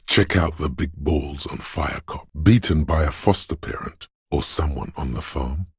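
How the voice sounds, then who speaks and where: A male actor voicing a menacing inner voice of primal fear and rage in a video game, narrating in a gravelly, husky, ominous, deliberate way. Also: very deep voice, no room sound, studio quality, exaggerated voice. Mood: seething rage. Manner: ominous, slow, deliberate delivery. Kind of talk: dramatic second-person game narration.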